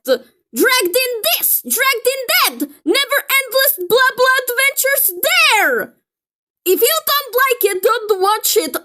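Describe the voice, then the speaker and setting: Woman doing opinionated video commentary, really high voice, takes her time, sounds kinda exasperated. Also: fairly bright tone. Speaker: video creator. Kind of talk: commentary reacting to a film critique.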